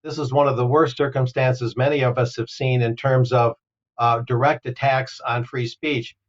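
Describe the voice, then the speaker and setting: A man's voice: mid-range voice, moderate pace. Man in a dull, lo-fi remote feed. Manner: steady, argumentative, explanatory. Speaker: co-presenter. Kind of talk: discussion commentary.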